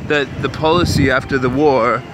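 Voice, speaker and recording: A man's voice: mid-range voice, fairly even intonation, moderate pace. Rambling man vlogging on a noisy street. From Canada. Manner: rambling, musing, many fillers and restarts. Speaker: vlog host. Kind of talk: casual walking vlog monologue. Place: outdoors on city streets. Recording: outdoor street noise.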